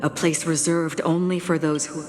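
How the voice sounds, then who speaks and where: An actress playing a composed, coolly courteous woman warrior in a video game, grave, formal and deliberate in her speech. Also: low voice, level tone, a little mellow, natural voice. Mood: solemn, explaining. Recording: long reverb, music behind. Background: game music.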